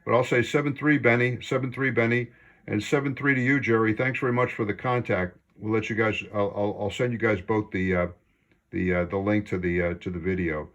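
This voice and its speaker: A man's voice: lowish voice, steady tone, fairly quick, fairly bright tone, highs cut off. A man talking casually as an amateur radio operator during an on-air contact.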